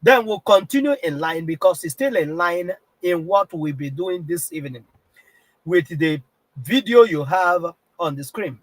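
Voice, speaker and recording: A Nigerian man with a heavy cold and a strained throat, hosting a political live-stream broadcast and clearing his throat often. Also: on the high side, expressive, measured pace, dry recording. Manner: keeps clearing his throat, repetitive and insistent.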